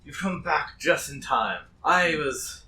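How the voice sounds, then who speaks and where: A man's voice: fairly high pitch, expressive, slow pace, bright, forceful tone, full character voice. A man playing a drunk, clingy, rambling older townsman who pleads and repeats himself. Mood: eager, nostalgic. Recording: roomy distant mic with noise.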